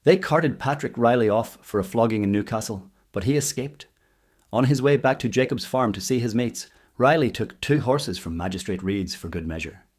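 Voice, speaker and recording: A man's voice: mid-range voice, moderate pace, natural voice. Man playing a grave Irish convict bearing bad news. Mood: grim, urgent. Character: Irish convict man, bitter, urgent bearer of grim news.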